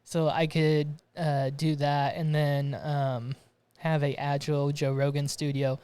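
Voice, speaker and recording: A man's voice: fairly high pitch, level tone, a little slow, a little mellow, smooth. A man from Romania hosting a podcast from his garage studio, chatting casually and rambling with frequent restarts. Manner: rambling, restarts and laughs often. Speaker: podcast host. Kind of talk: casual chat.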